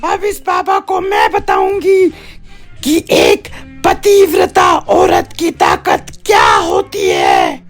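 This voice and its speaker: A woman's voice: high voice, huge pitch swings, voice pushed up, heavily acted. A woman voicing a vengeful, proud ghost witch in a cartoon horror story, with commanding, threatening delivery. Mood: furious, defiant. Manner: commanding, threatening shouts, drawn-out words. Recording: background music under the voice.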